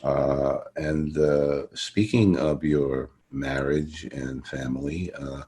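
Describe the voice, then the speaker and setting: Male podcast interviewer, extremely low voice, halting conversational delivery with repeated phrases. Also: steady tone, warm tone. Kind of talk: casual interview.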